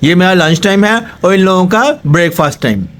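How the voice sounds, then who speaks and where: A man's voice: high-pitched, animated, brisk, fairly bright tone. Teasing Pakistani man hosting a vlog. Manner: playful, teasing, addresses viewers as "janab". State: sore throat. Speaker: channel host. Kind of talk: casual family vlog.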